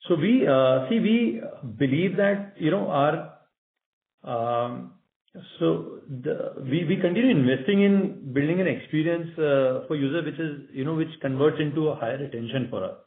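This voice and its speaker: A man with an Indian accent, a company executive giving halting, measured, unscripted answers on a business call. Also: on the high side, expressive, narrow, tinny audio. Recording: echoey, band-limited conference call line.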